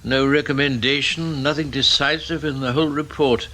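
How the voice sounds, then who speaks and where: A man's voice: medium pitch, fairly even intonation, moderate pace, pushed and bright, a little hiss or hum, natural voice, rough recording. A man acting in a radio drama as a critical senior intelligence chairman, formal and dryly condescending.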